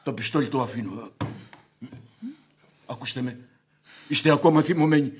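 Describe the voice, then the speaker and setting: A man's voice: mid-range voice, slight background noise, natural voice. An actor playing a hot-headed, blustering landowner in a radio play, stammering and impassioned as he falls for a woman. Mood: flustered, smitten. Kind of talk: radio theatre acting.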